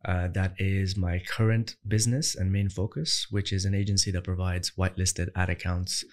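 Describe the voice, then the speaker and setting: A man's voice: deep voice, flat delivery, normal speed. A young man from Ireland, a business founder, answering interview questions in an emphatic, conversational way that builds into punchy rhetorical questions. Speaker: entrepreneur guest.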